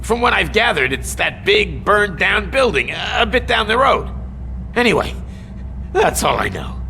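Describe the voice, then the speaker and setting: Smug, sharp-voiced crooked businessman. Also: sits high, very animated, a little slow, soft background music, full character voice. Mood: bargaining. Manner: sardonic, smooth-talking. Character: smug, slippery arcade owner and drug distributor. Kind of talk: video game cutscene acting.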